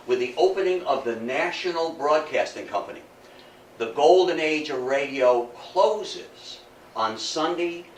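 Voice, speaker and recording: An older man with an American accent giving an informal, deliberate history lecture and engaging his audience. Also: mid-range voice. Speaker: guest lecturer. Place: meeting room talk. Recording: echoey room, distant mic, faint noise.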